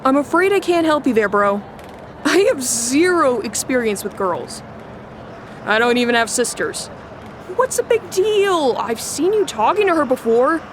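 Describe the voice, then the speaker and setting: A woman's voice: high voice, big pitch swings, measured pace, slight background noise. A woman playing a chunky, wisecracking middle school boy in a family audio drama, breezy and teasing. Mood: matter-of-fact.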